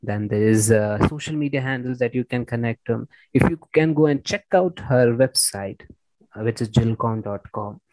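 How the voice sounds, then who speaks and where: A man's voice: mid-range voice, moderate pace, darkish tone, dry recording, low-quality recording. A man hosting a conversational interview, often repeating his words and phrases as he goes. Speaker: podcast host interviewing a guest.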